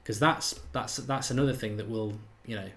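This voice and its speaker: A man's voice: on the low side, fairly even intonation, normal speed, fairly bright tone. Chatty man with a slight Irish lilt. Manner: chatty, thinks aloud, reads out viewer comments. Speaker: host of a live match watchalong. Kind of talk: live football chat and commentary.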